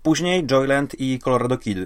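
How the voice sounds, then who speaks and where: A Polish man talks in a voice of ordinary male pitch. He sounds like a podcast co-host in casual conversation. His phrasing is informal and comes in stops and starts. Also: moderate pace. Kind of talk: casual chat about games and comics.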